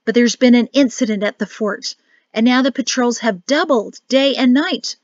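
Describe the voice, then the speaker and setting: A woman with a rough, crackling voice voicing an anxious, exasperated wife who talks tense and impatient. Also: on the high side, normal speed, no room sound, highs cut off, voice pushed up, natural voice.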